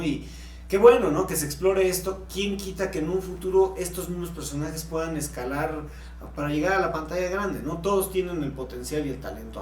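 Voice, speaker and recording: Higher-voiced Mexican man in an echoey room. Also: darkish tone. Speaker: podcast co-host. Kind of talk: casual conversational podcast talk. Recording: echoey room, faint background hum.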